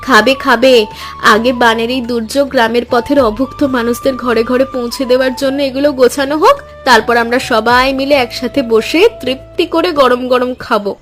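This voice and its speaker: A woman's voice: sits high, fairly even intonation, a little fast, a bit bright, faint music behind. A woman voicing a devoted, hopeful village wife in a Bengali cartoon story, earnest and encouraging. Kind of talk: Bengali animated moral story dialogue.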